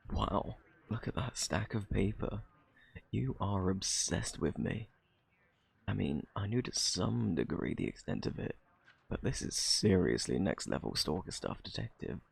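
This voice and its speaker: A man with a British accent acting out a flirty, cocky thief under interrogation in an ASMR roleplay, teasing and sarcastic in a husky, gravelly drawl. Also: fairly low pitch, moderate pace, a little mellow, heavily acted. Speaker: audio roleplay performer.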